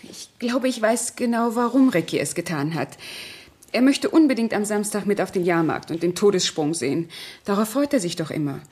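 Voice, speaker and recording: Measured, insinuating household companion; slightly breathy mid-pitched female voice, radio crime play. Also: expressive, darkish tone. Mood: calmly persuasive. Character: composed, insinuating woman managing a friend's household. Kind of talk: German radio crime drama acting. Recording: 1970s studio radio play recording.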